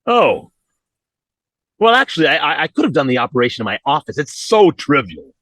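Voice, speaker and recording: A man's voice: on the high side, sing-song, a little fast, a bit bright, no room sound. A man playing a bumbling, cheerfully callous doctor with a hesitant stammer in an old-time radio comedy. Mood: breezy, reassuring.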